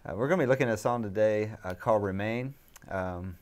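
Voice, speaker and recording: A man's voice: on the low side, quick pace, a little mellow. A middle-aged man hosting a music interview, friendly and laid-back with hesitant fillers, in a small studio room. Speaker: show host.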